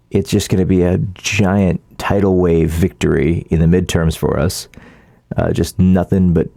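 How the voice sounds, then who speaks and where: A man giving casual, opinionated political commentary as a vlogger, in an informal, halting, rambling way. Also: deep voice, gravelly, dark tone, dry recording, clean studio sound.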